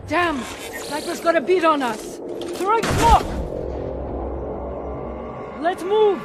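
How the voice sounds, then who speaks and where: High-pitched female soldier. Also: animated, slow, heavily acted. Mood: urgent, alarmed. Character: tense female special-forces soldier under fire. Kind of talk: urgent combat dialogue in a military shooter game. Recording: loud game music over the voice.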